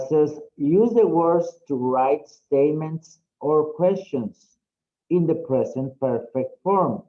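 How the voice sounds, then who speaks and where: A man teaching an English class, explaining slowly and often checking in with "okay?". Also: fairly high pitch. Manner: slow, drawn-out, frequent "okay?" checks. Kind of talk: online class instruction. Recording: dull lo-fi audio.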